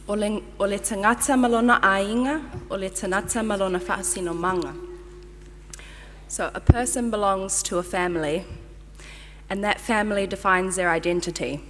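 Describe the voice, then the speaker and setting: A woman's voice: mid-range voice, slight background noise. A young woman giving a flustered, grateful ceremony speech, with many ums and nervous laughs. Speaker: medical graduate giving a speech. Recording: long hall reverb.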